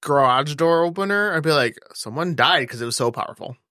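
A woman co-host talks in a very animated voice that swings up and down. She is jokey and slangy, chatting casually about films, recorded close and dry. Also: low voice, brisk, no echo. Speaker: podcast co-host. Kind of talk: casual joking film chat.

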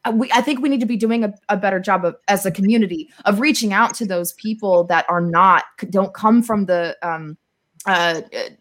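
A woman speaking as a podcast guest in casual conversation, with an informal, candid manner that often softens her points. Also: medium pitch, fairly quick.

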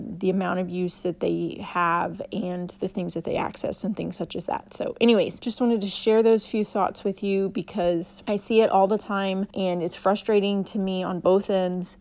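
A woman hosting a solo podcast, her voice raspy from a sore throat, giving earnest, emphatic advice. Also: medium pitch, a little mellow. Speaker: podcast host.

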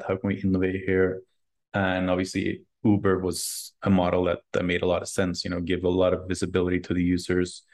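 A man with a very deep voice talks about his work in an even, flat tone, with little rise or fall. He answers casually and haltingly, doubling words and leaning on fillers as he thinks. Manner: hesitant, many repeated words and fillers. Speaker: startup founder and guest.

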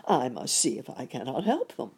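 A woman voicing a kindly, wise gentleman rabbit in a storybook, courteous and gently instructive. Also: rumbling low, wildly swooping pitch, normal speed, creaky, slightly rough, exaggerated voice. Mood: concerned, helpful.

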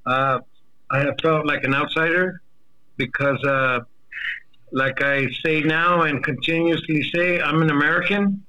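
A man from Mexico giving earnest personal remarks as a guest, halting and restating his points for emphasis. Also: a little high, steady tone, bright, forceful tone, poor audio. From born in Mexico. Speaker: interview guest, deported military veteran.

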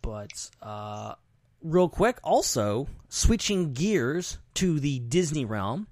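A man's voice: fairly high pitch, very animated, slow pace, a little mellow, dry recording, clean studio sound. A man hosting a podcast in casual, rambling, enthusiastic conversation. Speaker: podcast host.

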